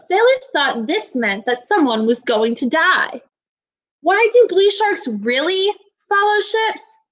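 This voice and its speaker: A woman in her twenties, a teacher giving a read-aloud lesson to young children in a deliberate, instructive way. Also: sits high, a bit bright, low-quality recording. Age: 20s. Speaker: elementary school teacher. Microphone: laptop webcam at arm's length.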